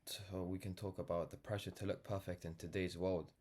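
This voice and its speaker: A young man from London with a notably low voice. He talks off the cuff in a reflective, earnest monologue. His delivery rambles, leaning on "like" and "you know" as he goes. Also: flat delivery, a little fast, a little mellow. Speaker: vlogger, brand founder. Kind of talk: casual reflective monologue to camera.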